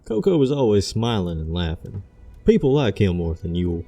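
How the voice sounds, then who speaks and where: Suspicious, muttering pipe-smoking villager played by a man, low and gruff, for fantasy storytelling over faint music. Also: big pitch swings, moderate pace, warm tone, full character voice. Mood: wary. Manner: muttering, distrustful.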